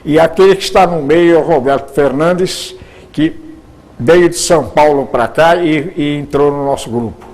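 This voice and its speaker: An older man, a retired radio producer, reminiscing in an interview, with halting speech full of repeated words and restarts. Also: fairly high pitch, darkish tone.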